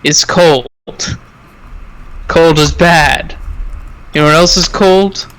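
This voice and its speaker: A man's voice: on the high side, slow, fairly bright tone, poor audio. An American man from Arizona chatting casually over a game, making short emphatic arguments. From Arizona, United States. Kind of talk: casual chat while gaming.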